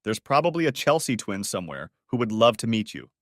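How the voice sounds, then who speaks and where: A joking young husband who protests lightly and keeps things playful, as the narrator voices him. His voice is a bit raised and lively in pitch. The reading is dry and close. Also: brisk, dry recording. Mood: tender.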